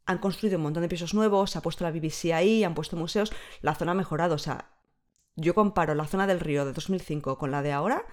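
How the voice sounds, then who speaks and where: A woman's voice: lowish voice, quick pace. A woman in her thirties chatting as a podcast guest, explaining things fluently in long stretches. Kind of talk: casual conversation.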